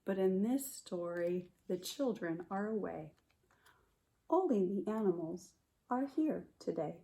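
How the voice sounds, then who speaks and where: A woman kindergarten teacher reading a picture book aloud to young children in a simple, expressive way, speaking to them directly. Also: medium pitch, a little slow, gravelly. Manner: addresses children directly with questions and prompts.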